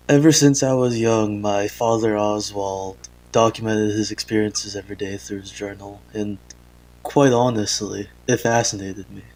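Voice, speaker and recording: Low-voiced, halting young father played by a man. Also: darkish tone, natural voice, low-quality recording. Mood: reflective, earnest. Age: young adult. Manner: halting, hesitant, sighing, self-interrupting. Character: earnest young father keeping a troubled audio diary. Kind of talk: analog horror audio drama, confessional voice log.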